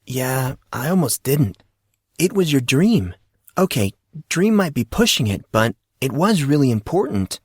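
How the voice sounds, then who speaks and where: A man's voice: mid-range voice, sing-song, unhurried, a little mellow, breathy edge, no room sound, clean studio sound, voice pushed up. A man voicing a troubled fourteen-year-old boy in an audiobook, earnest and full of questions. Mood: reflective.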